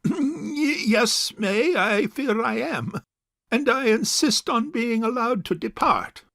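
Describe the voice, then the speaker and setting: An actor playing a stubborn, wealthy bachelor uncle in a stage farce, formal and stuffy. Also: male voice, very high voice, expressive, slow pace, creaky, a bit bright, no echo, clean studio sound, exaggerated voice. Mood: flustered. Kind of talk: stage farce audio drama acting.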